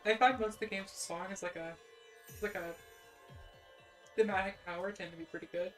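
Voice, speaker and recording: A young adult woman with a plain Midwestern American accent chats casually into a desk mic. She rambles, piles on "like," restarts sentences and pokes fun at herself, her voice sitting at an everyday middle pitch. Faint music plays quietly underneath. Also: fairly even intonation, fairly quick. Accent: General American (Midwestern). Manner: rambling, many "like"s and restarts, self-deprecating. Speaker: VTuber streamer. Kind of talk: casual stream chat.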